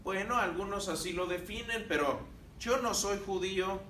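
A man's voice: high voice, moderate pace, pushed and bright, echoey room, recorded from a distance, slight background noise. A man from Mexico teaching a Bible class, talking to the room and putting questions to his students. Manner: conversational, asks the class questions. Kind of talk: church class lecture.

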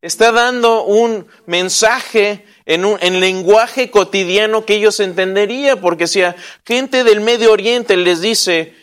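A high-pitched man teaches the Bible in a relaxed class. He jokes and asks his listeners questions. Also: a little slow, hardly any pauses, smooth. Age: 40s. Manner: joking and chatty, asks the audience questions.

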